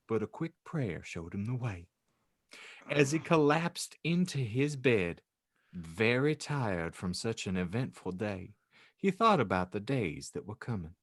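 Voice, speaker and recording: A man's voice: lowish voice, no room sound, clean studio sound. A man hosting a comedy podcast, reading fan fiction in a mock-solemn storybook voice and chatting wryly. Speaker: podcast host and narrator.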